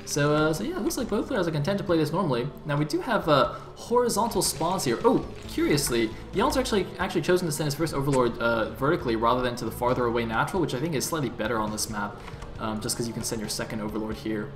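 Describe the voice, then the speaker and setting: A man's voice: fairly high pitch, animated, fast. A man giving analytical, easygoing play-by-play commentary on an esports match, with the odd joke. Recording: echoey room with music.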